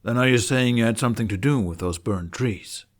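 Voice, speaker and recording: A man's voice: mid-range voice, brisk, natural voice. A man voicing a stern, humorless knight commander who questions demandingly in an audiobook. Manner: demanding, interrogating.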